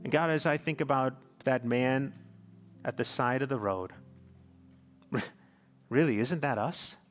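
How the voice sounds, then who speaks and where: A man's voice: mid-range voice, measured pace, faint music behind. A man in his thirties preaches to his congregation, his voice sitting in an ordinary middle register. He tells stories and prays in an earnest, pastoral manner. A long reverb trails after him, as in a large church hall. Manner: warm storytelling, earnest pastoral delivery. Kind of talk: sermon with closing prayer.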